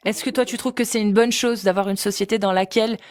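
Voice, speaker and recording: A woman's voice: medium pitch, steady tone, quick pace, a little mellow. A woman hosting a live stream debate, pressing her guest with pointed questions. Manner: probing, insistent questioning. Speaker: host.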